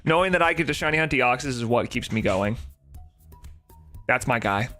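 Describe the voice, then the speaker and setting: A man with a nasal voice chatting casually on a live stream, rambling and excitable, with faint music behind him. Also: mid-range voice, normal speed. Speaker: shiny-hunting live streamer.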